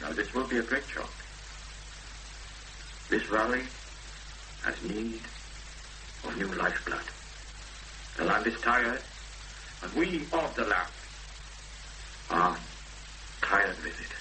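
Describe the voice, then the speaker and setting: An actor playing a grave, persuasive Welsh chapel minister with solemn, measured speech in a 1970s radio drama. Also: male voice, lowish voice, lively intonation, sharp tone, full character voice. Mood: grave persuasion. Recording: noisy old radio broadcast recording with hum.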